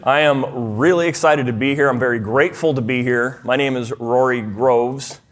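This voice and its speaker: A man's voice: mid-range voice, normal speed. A man in his forties from Minnesota, with a Minnesotan accent, giving a conversational talk at a church conference, full of fillers and restarts. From Minnesota, USA. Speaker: conference speaker. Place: church hall.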